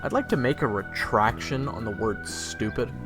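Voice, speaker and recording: A man playing a loyal, hot-headed human companion in an audio fantasy drama, talking in a casual, halting, earnest way. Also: mid-range voice, lively intonation, music in the background, lightly acted. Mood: flustered. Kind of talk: audio roleplay drama with first-person narration.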